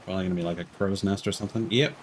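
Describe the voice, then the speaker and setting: A man's voice: deep voice, fairly even intonation, racing, noisy background. A man doing casual live commentary as he streams a video game, musing to himself and often trailing off mid-thought. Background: game music.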